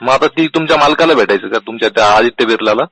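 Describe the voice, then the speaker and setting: A man's voice: mid-range voice, very fast, fairly bright tone, dry recording, poor audio. A man making a phone complaint, confrontational and insistent, pressing with repeated questions. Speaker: customer calling a complaint line. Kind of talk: argumentative complaint phone call.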